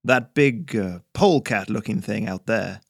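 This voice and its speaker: A man's voice: on the low side, a little slow, gravelly, slightly rough, dry recording, studio quality, exaggerated voice. A man voicing a pushy, contemptuous frontier trader with an in a story. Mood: brusque. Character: Thaddeus, brash spitting frontier trader with a weapon.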